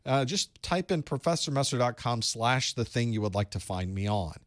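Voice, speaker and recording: A man's voice: mid-range voice, lively intonation, moderate pace, no echo. A man hosting a live online study group, chatting casually and greeting viewers with light jokes from a studio room. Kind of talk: casual live-stream chat and instruction. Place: home studio room with electronics.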